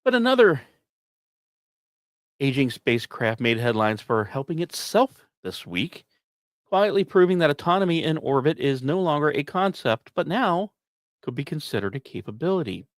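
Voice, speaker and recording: A man's voice: fairly high pitch, big pitch swings, normal speed, no echo, clean studio sound. A man hosting a podcast, reading scripted science news commentary with emphatic, dramatic phrasing and short punchy repeats, dropping in personal asides. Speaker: podcast host.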